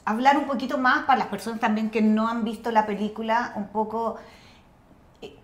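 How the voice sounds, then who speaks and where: Higher-voiced Chilean woman interviewer, roomy sound. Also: steady tone, moderate pace. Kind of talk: casual conversational interview. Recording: roomy sound with faint noise.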